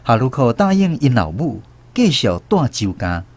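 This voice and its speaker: A man's voice: mid-range voice, sing-song, moderate pace, husky, dry recording. A man with a Taiwanese accent narrating a first-person story expressively for radio drama.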